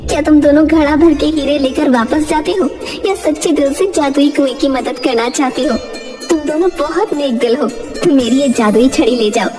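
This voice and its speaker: A woman's voice: high-pitched, normal speed, dark tone, in a large hall, lightly acted. A woman voicing a forest fairy in a children's cartoon, theatrical and emphatic, with music behind. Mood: approving, kindly. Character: female forest fairy, stern tester turned kindly guide. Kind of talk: children's cartoon story dub. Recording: music under the voice.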